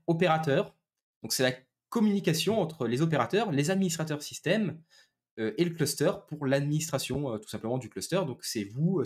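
A man gives an informal technical presentation over a video call on a headset, explaining step by step with frequent hesitations. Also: medium pitch. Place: small room indoors, video call.

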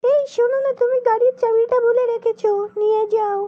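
A performer voicing a shrill, nagging wife in a cartoon joke skit, scolding and insistent. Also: steady tone, brisk, highs cut off.

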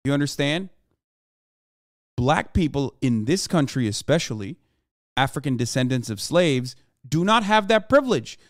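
A man's voice: medium pitch, very animated, normal speed, dry recording. Emphatic man lecturing on a livestream, dry sound. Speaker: political livestreamer. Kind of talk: livestream political commentary, reacting to chat. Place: small room at home, indoors. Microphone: close desk microphone.

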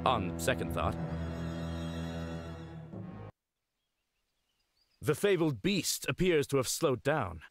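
A man voicing a loyal, dutiful knight retainer in a fantasy game, formal and courteous with a deadpan streak. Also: medium pitch, moderate pace, music in the background. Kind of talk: fantasy game character acting.

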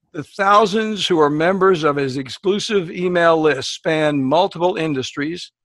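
A podcast host reading a guest introduction with enthusiasm, talking to listeners as folks. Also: male voice, on the high side, a bit bright, no room sound.

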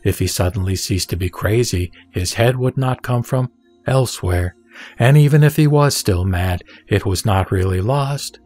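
A man gives a scripted, dramatic reading of scripture in a measured, solemn, instructive manner. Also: fairly low pitch, darkish tone. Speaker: narrator and reader of scripture. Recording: background music behind the voice.

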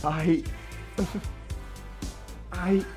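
A man's voice: on the high side, steady tone, extremely slow, music in the background. A man playing a distraught but defiant, protective character in an audio drama, emotional and urgent. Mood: anguished. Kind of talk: dramatic audio roleplay acting.

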